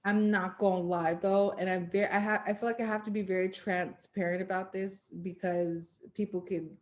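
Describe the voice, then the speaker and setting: A woman's voice: medium pitch, level tone, normal speed. A woman in her late twenties hosting a casual interview, talking openly and candidly and laughing often. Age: 20s. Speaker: interview host. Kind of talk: casual chat.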